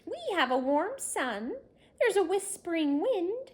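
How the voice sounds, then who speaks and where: A woman's voice: very high voice, sing-song, takes it slowly, pitched above the performer's own voice, full character voice. A woman voicing an elated female monster from a storybook, calling out to her friends in exclamations. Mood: gleeful. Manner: very exclamatory, sing-out announcing.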